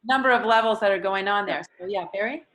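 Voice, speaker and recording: A woman hosting an online group discussion, speaking in a halting, thinking-aloud way as she facilitates. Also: mid-range voice, a bit bright. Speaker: host moderating an online panel. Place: online video call.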